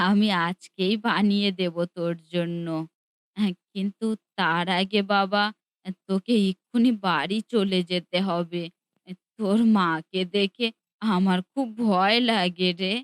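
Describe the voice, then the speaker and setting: A woman voicing a gentle old grandmother in a cartoon, speaking softly and tenderly to her grandson. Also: mid-range voice, smooth, dry recording, lightly acted. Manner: soft, tender, soothing. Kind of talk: cartoon dubbing.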